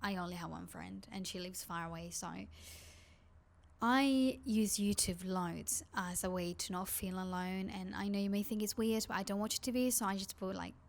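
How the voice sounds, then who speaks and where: Creaky-voiced woman with a Spanish accent. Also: mid-range voice. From Spain. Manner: rambling, confiding, heavy use of "like". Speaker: vlogger. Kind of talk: casual chat to camera.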